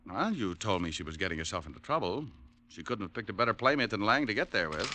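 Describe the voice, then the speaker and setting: An actor playing a hardboiled private detective in a 1950s radio drama, speaking in a dry, wisecracking way. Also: male voice, lowish voice, big pitch swings, fast, pushed and bright, slight background noise.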